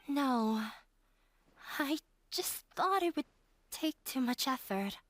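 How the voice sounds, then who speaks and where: A woman playing a sad, self-blaming young woman in a video game, speaking in a subdued, resigned, measured way. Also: high-pitched, lively intonation. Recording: game music behind the voice.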